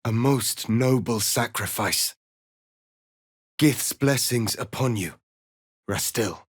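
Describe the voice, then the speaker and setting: A proud warrior prince of an alien race in a dramatic fantasy game. He speaks very slowly, with solemn weight and formal, commanding phrasing. There is a grave, embittered nobility to him. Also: mid-range voice, slightly rough. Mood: solemn resolve. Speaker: video game character.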